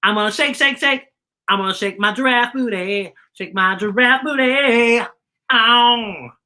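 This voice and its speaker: Woman as expressive young male giraffe, bright-voiced. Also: fairly high pitch, a little slow. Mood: elated. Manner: earnest, expressive. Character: sensitive young male giraffe, shy but joyful. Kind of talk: children's storybook read-aloud.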